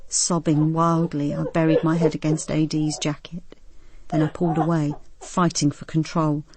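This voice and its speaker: An actress playing a policewoman who narrates her own ordeal in a grave, measured voice for a radio drama. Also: low voice, little pitch movement, a crowd in the background. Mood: shaken, dramatic. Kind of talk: first-person dramatic narration.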